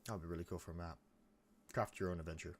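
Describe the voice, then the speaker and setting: A young man hosting a gaming channel, chatting casually over gameplay in a rambling way with lots of restarts, his voice a bit croaky from coming down with a cold. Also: deep voice, steady tone, racing, slight background noise. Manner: rambling, many restarts and fillers. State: getting sick, a bit croaky.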